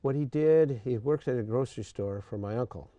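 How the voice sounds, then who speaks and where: An older man from Greece, a retired coach, recounting his life in an interview with a halting manner, often pausing and clearing his throat. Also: mid-range voice, dark tone, no echo.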